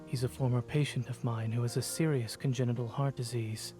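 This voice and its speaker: Actor as reserved male physician for romance game, terse, deadpan, mid pitch, music behind. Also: fairly quick, close to an everyday voice. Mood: composed. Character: cool, dry-witted male doctor, reserved and teasing. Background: background music.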